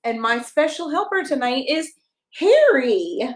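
A woman in her thirties reading a picture book aloud to a toddler, expressive and encouraging, coaxing the child along with questions. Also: high-pitched, measured pace, reverberant. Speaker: pastor reading a children's story. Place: small carpeted room at home, indoors. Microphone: camera a step or two away.

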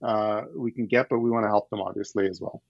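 A man in his forties, born in Ukraine, talking as a startup founder on a video call from his home, explaining with lively gestures and frequent restarts. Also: fairly low pitch, quick pace, creaky, no room sound. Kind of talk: casual video-call interview. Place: home living room. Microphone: headset on video call. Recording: dull, dry headset audio.

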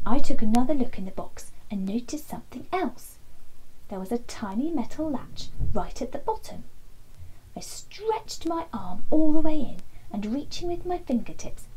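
Woman in her thirties, children's story narration, lively rising and falling pitch, soft mellow tone, expressive. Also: slight background noise, rough recording. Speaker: storybook reader. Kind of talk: children's bedtime story reading. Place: small indoor nook lined with soft toys.

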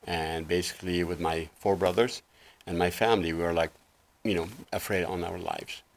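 A man in his sixties from Lebanon speaks in a very low voice with a gravelly edge. He tells his story earnestly and loosely, leaning on fillers, as a guest on a small TV studio set. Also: steady tone, moderate pace. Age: 60s. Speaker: guest life coach. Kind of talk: casual interview talk.

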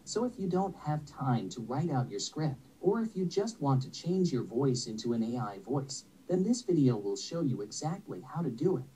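A man's voice: medium pitch, sing-song, moderate pace, few pauses, mellow, husky, far from the mic, rough recording. A synthetic male text-to-speech voice reading narration.

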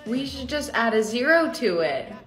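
A woman's voice: fairly high pitch, sing-song, normal speed. A young woman playing an envious, defensive teenage schoolgirl in a scripted comedy skit. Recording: roomy sound with faint music behind.

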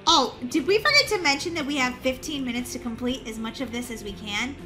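A woman hosting a gaming video, giving excited, playful and dramatic gameplay commentary for young viewers. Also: sits high, a little fast, faint music behind.

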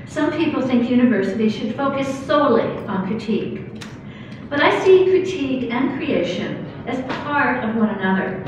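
A woman's voice: a little high, noisy background. Older woman reading a lecture in an echoey hall. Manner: measured, reads from notes. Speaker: academic speaker. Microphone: lectern microphone. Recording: echoey hall, camera far off.

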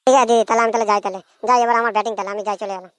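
Carefree schoolboy for a cartoon, played by a woman: rapid, repetitive, casual delivery in a dry recording. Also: medium pitch, steady tone, no echo, natural voice. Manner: casual, repetitive, brushing off advice. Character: carefree procrastinating young schoolboy, little brother. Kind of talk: amateur cartoon dialogue.

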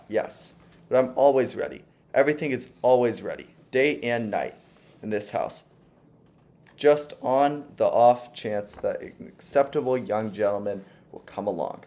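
A man's voice: lowish voice, darkish tone. A man voicing a gushing, fussy older landlady who is sweetly sinister, with a doting, sugary manner.

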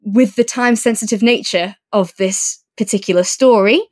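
A woman's voice: mid-range voice, moderate pace, darkish tone. A woman co-hosting a podcast, chatting casually and thinking aloud as she reviews an audiobook. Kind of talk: casual chat, book review discussion.

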